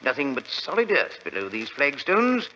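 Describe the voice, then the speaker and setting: An actor playing a stuffy, peevish older bank president with an English accent, pompous and fussy, in 1940s radio drama style. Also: male voice, medium pitch, wildly swooping pitch, moderate pace, sharp tone. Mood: complacent, pompous. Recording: muffled, noisy vintage radio recording.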